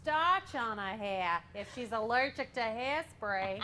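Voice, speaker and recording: An actress playing a cheeky, mischievous younger sister in a staged reading of a comedy play. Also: female voice, fairly high pitch, animated, takes it slowly, pushed and bright, slight background noise, full character voice. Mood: teasing.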